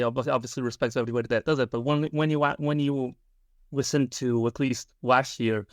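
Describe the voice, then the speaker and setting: A man's voice: medium pitch, dry recording. A man from Europe, co-hosting a podcast, speaking enthusiastically with many restarts. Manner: enthusiastic, halting, repeats words. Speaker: podcast co-host. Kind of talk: casual podcast chat.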